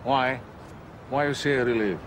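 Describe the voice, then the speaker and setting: A man's voice: mid-range voice, normal speed, natural voice. An actor playing an angry, fed-up man with blunt broken English in a film. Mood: defensive. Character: angry Bulgarian man, fed up with being mistaken for Romanian. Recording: film clip outdoors with traffic noise.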